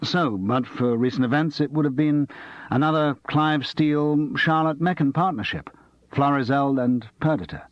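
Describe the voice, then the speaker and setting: A man's voice: on the high side, moderate pace, no room sound, lo-fi sound, voice pushed up, close to an everyday voice. Man as a dry, probing amateur sleuth.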